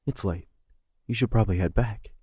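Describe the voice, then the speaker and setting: A man playing a courteous fellow in a fantasy audio drama, with a husky voice. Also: lowish voice, a little fast, warm tone, no echo. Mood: gentle.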